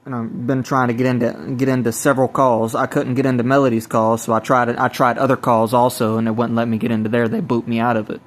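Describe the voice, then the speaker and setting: Halting man with a flat delivery. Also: medium pitch. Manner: halting, repetitive, restarting phrases. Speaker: self-described evangelist recording a personal video. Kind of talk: rambling spoken testimony to camera or phone.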